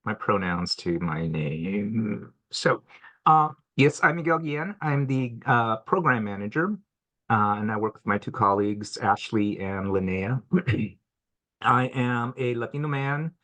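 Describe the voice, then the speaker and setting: Middle-aged man, program manager, low-ish bright voice, halting and relaxed, video call. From Mexico, raised in Washington State. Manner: relaxed, halting with many fillers. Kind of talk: webinar self-introduction. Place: office at home or work. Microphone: computer mic on a video call.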